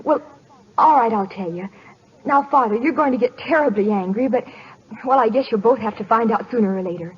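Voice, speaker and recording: A woman's voice: a little high, a little fast, close to an everyday voice. A woman playing a nervous, earnest grown daughter in 1940s radio drama style. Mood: nervous, hesitant. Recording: dull old radio broadcast.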